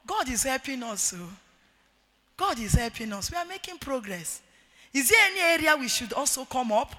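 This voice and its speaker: A middle-aged woman with a Nigerian accent and a loud, forceful voice, preaching a marriage sermon emphatically and repeating key phrases. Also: high-pitched. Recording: echoey hall with long reverb.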